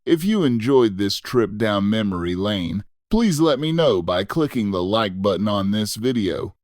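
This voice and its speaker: A man reading a scripted, nostalgic first-person story as a narrator, in a measured, reflective way. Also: lowish voice, very animated, a little mellow, no room sound, clean studio sound.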